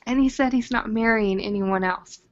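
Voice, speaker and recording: A woman's voice: on the high side, normal speed. A young woman co-hosting a casual chat about a TV drama, with a giggly, gushing, joking manner. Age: young adult. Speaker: podcast co-host. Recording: dull lo-fi audio.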